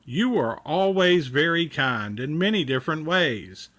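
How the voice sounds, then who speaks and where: Storybook monster pal, acted out by a man: bright, unhurried, earnest, full of admiration for a friend. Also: a little high, lively intonation. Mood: warm praise. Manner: earnest, explanatory.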